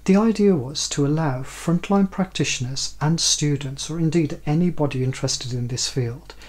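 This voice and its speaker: Male presenter, fifties, mid-pitched with some huskiness, explaining research to camera. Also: breathy edge.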